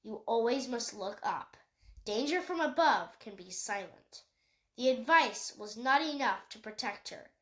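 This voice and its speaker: A woman's voice: on the high side, lively intonation, measured pace, a bit bright. A woman reading a children's animal novel aloud as scripted storybook narration. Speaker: audiobook reader.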